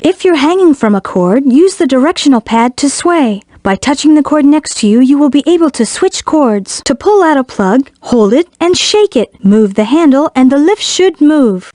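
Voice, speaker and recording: An actress playing a gentle young female spirit guide in a video game, giving scripted hints in a measured, earnest, instructive way. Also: sits high, no room sound, clean studio sound. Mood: calm, instructive. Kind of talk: scripted game hint narration.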